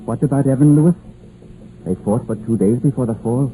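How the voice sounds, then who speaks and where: An actor playing a blunt, gloomy Welsh village coal miner in a 1950s radio drama, speaking in lilting dialect phrasing. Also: male voice, medium pitch, brisk, dark tone, faint music behind, close to an everyday voice. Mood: grim, insistent. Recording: muffled, faintly noisy old radio recording.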